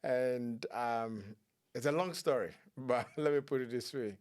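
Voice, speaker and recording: A man, telling his life story as a guest in a relaxed, laughing way. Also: mid-range voice, measured pace, smooth. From Ghana. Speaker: guest, clinician. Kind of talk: storytelling interview talk.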